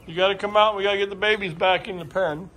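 A middle-aged American man with a notably high voice gives a folksy, unscripted tour of farm chores. He chats casually into a handheld phone, with noticeable outdoor noise and clucking poultry around him. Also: fairly even intonation, fast talker, a bit bright, rough recording. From Michigan, United States. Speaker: farm vlogger. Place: open barn and farmyard. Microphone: handheld phone camera. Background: clucking chickens, birds, outdoor ambience.

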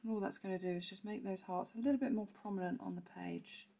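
A woman with a croaky voice, chatting through a step-by-step card-making tutorial and clearing her throat now and then. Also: mid-range voice, steady tone, quick pace, noisy background. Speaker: papercraft demonstrator. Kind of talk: casual step-by-step craft tutorial.

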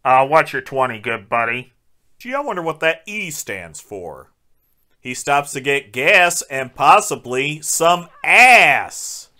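A man's voice: on the high side, very animated, slow pace, pushed and bright. A man recapping a film as a podcast host, with crude, sarcastic wisecracks.

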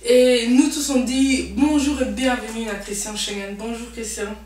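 A woman's voice: a little high, fairly even intonation, normal speed, loud music behind the voice. A young woman hosting an interview on a sofa in a living-room set, introducing her guest enthusiastically and prompting him with questions. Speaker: talk show host. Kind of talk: hosting an interview in French mixed with Lingala. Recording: echoey room, mic at a distance.

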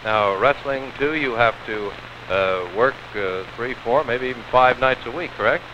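A middle-aged American man conducting a 1950s television sports interview, halting and deliberate, on a handheld mic. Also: mid-range voice. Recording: dull, hissy old 16mm film sound.

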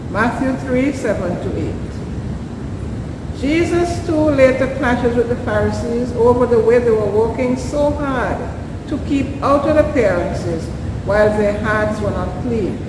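An older woman, an Anglican priest from Saint Vincent and the Grenadines, gives a measured, earnest scripture reading and Lenten reflection, recorded from a distance at a church altar. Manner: measured, earnest reading, dropping low at verse endings. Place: large church interior, at the altar. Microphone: camera set back from the speaker. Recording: echoey church from a distance, background noise.

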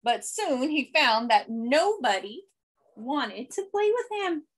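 A woman in her thirties reading a children's picture book aloud expressively, with deliberate, simple phrasing and emphasis. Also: sits high, very animated. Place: small indoor room.

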